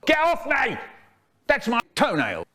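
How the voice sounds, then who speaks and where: Frantic, boastful storybook schoolmistress, played by a man: weedy, shrill, high-pitched and swooping. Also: huge pitch swings, a little slow, pushed and bright, full character voice. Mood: indignant. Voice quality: weedy, thin little voice. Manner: shrill, indignant exclaiming.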